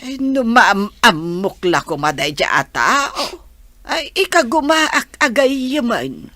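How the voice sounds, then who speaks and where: Woman acting anxious mother, slow and swooping. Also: a little high, very animated, bright, forceful tone, no room sound, heavily acted. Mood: fretful. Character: anxious, scheming, pleading mother of a student. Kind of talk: Filipino audio drama acting.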